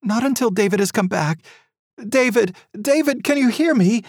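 A man's voice: high-pitched, big pitch swings, slow pace, a little mellow, husky, dry recording, clean studio sound, voice pushed up, full character voice. A man voicing a frantic, grieving middle-aged mother who pleads and sobs in an audiobook reading. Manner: pleading, sobbing, desperate.

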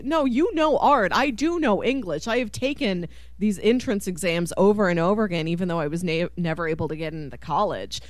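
Lively woman chatting casually about film. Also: on the low side, no room sound. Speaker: podcast co-host. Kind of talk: casual film discussion chat.

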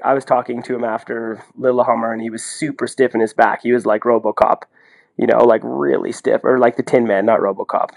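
Male podcast co-host, Canadian. Medium pitch, colloquial and animated delivery, outspoken casual sports analysis. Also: gravelly. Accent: Canadian English. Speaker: podcast co-host and ski commentator.

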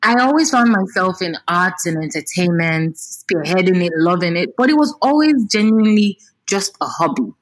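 A woman's voice: fairly low pitch, moderate pace, rough recording. A Nigerian woman in her thirties, a singer talking expressively and animatedly in a casual interview. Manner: expressive, animated, repeats words while thinking.

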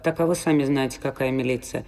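A man's voice: mid-range voice, steady tone, quick pace, darkish tone, lightly acted. A man, an actor, speaking a colloquial monologue in an audio play. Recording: long reverb, echoing space.

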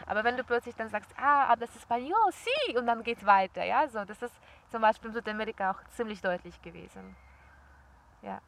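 A woman's voice: fairly high pitch, fairly quick, creaky, low-quality recording. Chatty woman. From former Soviet Union. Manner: lively, chatty storytelling with laughs. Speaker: interviewee. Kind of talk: casual conversation. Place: outdoors, birds nearby.

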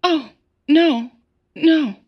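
Frightened young woman, acted by a female narrator, audio drama. Slow, halting delivery, husky and high. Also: dull audio. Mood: shaken. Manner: halting, shaky. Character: frightened, shaken young woman recounting an attack.

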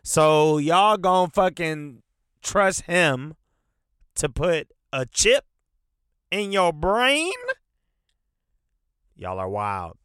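A man's voice: fairly high pitch, very animated, very slow, pauses often, a bit bright, clean tone, no room sound, clean studio sound. A man hosting a casual solo podcast, rambling loosely and cursing often, with a stuffy nose. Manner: loose, profane, rambling with fillers. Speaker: podcast host.

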